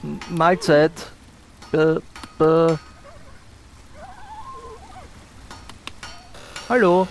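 Bumbling shopkeeper played by a man, fairly high male voice, hesitant and stammering, improvised audio play, faint noise. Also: steady tone, slow pace, creaky, rough recording. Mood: tentative. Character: flustered adventurous male cork-shop owner in a vest.

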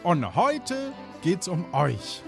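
Creaky-voiced man hosting a casual podcast. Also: high-pitched, wildly swooping pitch, very slow, over music. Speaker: host and game master. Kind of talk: casual chat to podcast listeners.

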